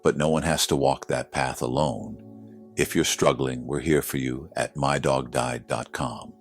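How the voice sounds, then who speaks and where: A man's voice: a bass voice, fairly even intonation, unhurried. A man presenting and reading a listener's letter as scripted narration, in an earnest, solemn manner. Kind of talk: slow scripted emotional narration. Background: background music.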